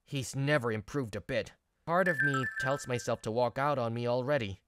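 A woman's voice: rumbling low, moderate pace, background noise. A woman voicing an earnest, stressed young male office worker, narrating and acting in a manga video. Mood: stressed, dutiful. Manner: earnest, expressive. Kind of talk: first-person narration and dialogue in manga video.

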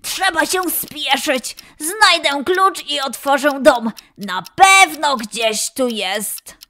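A woman voicing an eager but self-doubting, playful young male lion in a children's story, with dramatic, drawn-out exclamations. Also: high-pitched, animated, bright, forceful tone, pitched above the performer's own voice, heavily acted. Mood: hurried, determined.

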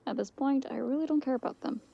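A woman's voice: high voice, fast, gravelly, muffled recording, exaggerated voice. A woman playing a blunt, indifferent wandering demon in a game roleplay, speaking in an offhand way. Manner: offhand, nonchalant. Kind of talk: improvised game roleplay dialogue. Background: background music.

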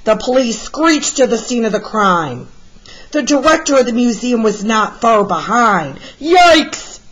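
A woman reading a children's picture book aloud as a storyteller, with deliberate, expressive delivery. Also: a little high, muffled recording. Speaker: read-aloud storyteller, art teacher.

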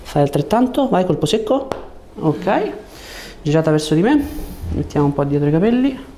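A man in his thirties speaks as a chiropractor guiding a patient. His voice is mellow and relaxed, giving short hands-on commands with reassurance. It is picked up on a lapel mic in a small room, with faint noise behind. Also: fairly high pitch, normal speed. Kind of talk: calm hands-on instructions to a patient. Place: small treatment room indoors. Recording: noticeable room background noise.

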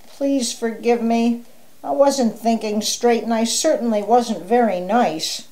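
A woman voicing an excitable, moody male wolf with an emotional, exclamatory way of talking in a children's story. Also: on the high side, slow pace, poor audio. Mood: sad, remorseful.

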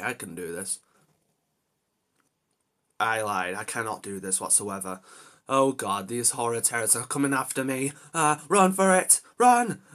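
A man's voice: medium pitch, sharp tone. A man voicing an anxious, protective young adventurer in amateur character lines, talking to himself with dramatic exclamations. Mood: relieved, puzzled, then panicked. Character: anxious, reluctant young adult male adventurer hero, protective.

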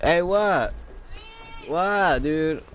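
A young man streaming a video game, chatting casually with his viewers in a relaxed, swearing manner. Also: a little high. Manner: slow, laid-back, swears often. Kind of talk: casual gaming chat.

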